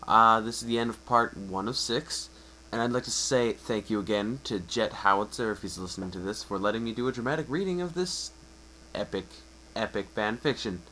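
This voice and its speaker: A man's voice: on the low side, bright, forceful tone, a little hiss or hum, poor audio. A man narrating a fan story as an amateur reader, often stumbling and correcting himself. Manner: halting, self-correcting delivery.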